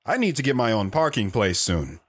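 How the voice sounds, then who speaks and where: A man's voice: medium pitch, very animated, brisk, a bit bright. A man playing a plain-spoken wanderer telling his life story in an audio drama. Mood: matter-of-fact.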